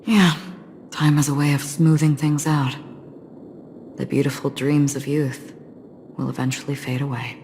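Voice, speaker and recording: An actress playing a reserved, watchful, enigmatic wandering warrior in a video game, serious and pensive in manner. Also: female voice, low voice, flat delivery, measured pace, pauses often, a little mellow, slight background noise. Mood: wistful.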